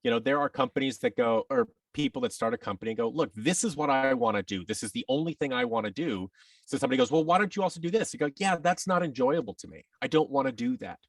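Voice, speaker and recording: A man telling his story casually as a podcast guest, reflective and halting, often acting out bits of quoted dialogue. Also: medium pitch, big pitch swings, vocal fry, no room sound, recorded from a distance. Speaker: podcast guest, actor and audio drama producer.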